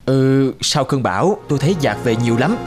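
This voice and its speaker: A man's voice: mid-range voice, expressive, a little fast, close to an everyday voice. A man voicing a simple, good-natured poor fisherman in a folktale cartoon, hesitant and plain-spoken, over music. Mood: casual, puzzled. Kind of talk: Vietnamese animated folktale dubbing. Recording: music behind the voice.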